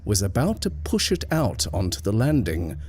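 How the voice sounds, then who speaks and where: A man reading a ghost story aloud in a measured, hushed storytelling manner. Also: lowish voice, wildly swooping pitch. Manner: slow, measured, hushed at tense moments. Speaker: narrator. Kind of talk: scripted horror story reading.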